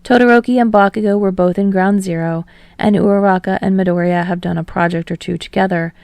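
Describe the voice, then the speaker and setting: A woman's voice: mid-range voice, steady tone, a little fast, gravelly, a little mellow, no room sound. A woman reading fiction aloud as an audiobook narrator in a scripted storytelling style.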